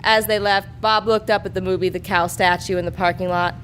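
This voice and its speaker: A woman's voice: lowish voice, fairly even intonation, fairly quick, a bit bright, a little hiss or hum. A woman performing a live reading of a comic adult story as narrator. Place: live show before an audience.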